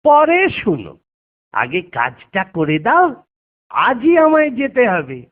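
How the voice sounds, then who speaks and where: A man's voice: high-pitched, wildly swooping pitch, slow, exaggerated voice. A man voicing a sly, witty village schemer in a cartoon, with a crafty, teasing manner. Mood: sly, eager. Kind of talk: cartoon voice acting. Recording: tinny phone-like audio under loud music.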